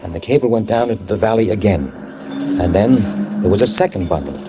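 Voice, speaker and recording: A man's voice: on the low side, animated, brisk, heavy background noise, lightly acted. A man playing a brooding guilty driver. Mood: tense. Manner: tense, brooding first-person narration. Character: guilt-ridden male hit-and-run driver narrating. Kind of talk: 1940s radio acting. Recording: old radio broadcast with music.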